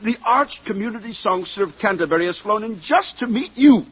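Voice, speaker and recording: Vain, anxious civilized man: excitable, theatrical, slightly husky, muffled old radio play recording. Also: high-pitched, brisk. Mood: exasperated. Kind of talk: old-time radio drama acting.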